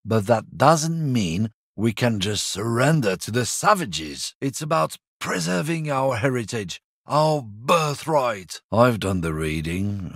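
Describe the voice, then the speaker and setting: A man voicing a surly, bigoted middle-aged lorry driver in a video game, gruff and combative, swearing often. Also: lowish voice, dry recording, studio quality, heavily acted. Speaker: game character.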